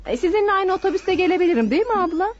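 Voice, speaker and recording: An actress playing a scheming, falsely sweet younger married sister in a radio drama, coaxing and breezy. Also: female voice, very high-pitched, animated, very fast. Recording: dull lo-fi radio drama recording.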